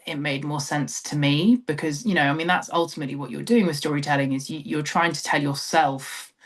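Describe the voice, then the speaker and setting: A woman's voice: sits low, fairly even intonation, fairly quick. Thoughtful British woman in conversation. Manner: thoughtful, hesitant, trailing phrases with frequent hedging. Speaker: theatre director, interview guest. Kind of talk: reflective interview conversation.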